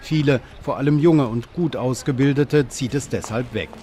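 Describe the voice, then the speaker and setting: A man's voice: medium pitch, slight background noise. Man narrating a news report. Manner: even, measured reading. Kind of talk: scripted documentary narration.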